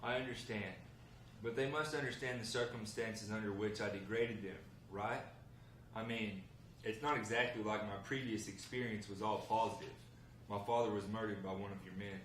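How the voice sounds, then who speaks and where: Guy reading earnest broke guy trying to win over a gang boss, lowish voice, echoey room, far from mic. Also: steady tone, moderate pace, fairly bright tone, close to an everyday voice. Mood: earnest, persuasive. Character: earnest, hard-up man bargaining with a gang boss. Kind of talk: script table read. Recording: roomy, noisy, distant mic.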